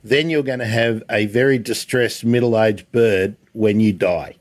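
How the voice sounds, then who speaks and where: A man's voice: lowish voice, measured pace, no echo. Australian man hosting, close dry sound. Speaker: host. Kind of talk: casual interview hosting.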